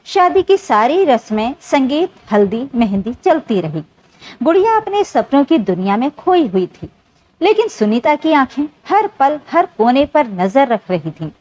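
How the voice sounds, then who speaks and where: A woman's voice: sits high, sing-song, unhurried, a little mellow. A woman narrating a scripted story in an emotive, dramatic way. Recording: dull, lo-fi sound.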